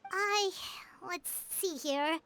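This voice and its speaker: A woman's voice: very high voice, extremely slow, pushed and bright, exaggerated voice. A woman voicing a tiny childlike floating companion in a video game, chirpy, friendly and given to hesitant stammering. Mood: hesitant, unsure. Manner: speaks of self in third person, hesitant stammers. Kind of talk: English video game voice acting. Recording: game music behind.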